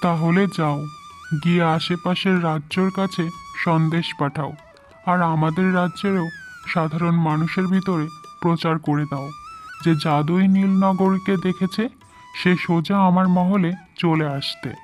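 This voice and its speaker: A man's voice: high voice, steady tone, a little slow. A man playing a proud, doting king and father in a fairy-tale cartoon, speaking formally. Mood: commanding. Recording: music under the voice.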